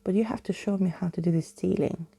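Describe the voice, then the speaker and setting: A woman's voice: fairly low pitch, fairly even intonation, fast talker, gravelly, dark tone, breathy edge. A woman voicing a proud, huffy, scheming girl in a curt, whispery children's storybook reading. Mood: haughty.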